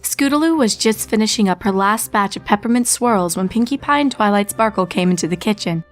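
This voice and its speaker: Woman reading a story out loud, mid pitch, steady, faint music under it. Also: a little fast. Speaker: narrator. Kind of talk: fanfic audiobook reading.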